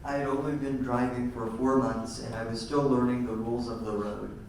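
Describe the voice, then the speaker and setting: A man's voice: mid-range voice, little pitch movement, brisk, a little hiss or hum. A teenage boy reading his essay aloud steadily. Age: teens. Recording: echoey room from far off.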